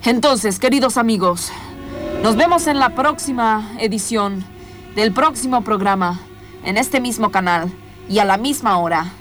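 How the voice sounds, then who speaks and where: Girl doing a spooky mysteries radio host lady, theatrical, highish voice, improv comedy, music behind. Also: a little slow, fairly bright tone. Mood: grandly presenting. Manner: theatrical, suspenseful, rhetorical repetition. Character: dramatic woman host of a paranormal mysteries show. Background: music bed.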